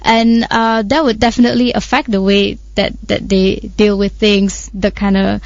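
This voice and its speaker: A woman's voice: medium pitch, little pitch movement, moderate pace, no room sound. A woman co-hosting a radio current affairs discussion, explaining her points with many hesitations and fillers. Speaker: radio show co-host. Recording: dry, slightly muffled studio audio.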